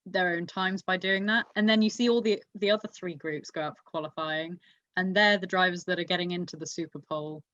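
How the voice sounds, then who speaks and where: A woman's voice: on the low side, steady tone, fairly quick, a bit bright. A woman chatting casually about motorsport as a podcast co-host, giving her opinions emphatically. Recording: echoey room, dull lo-fi mic.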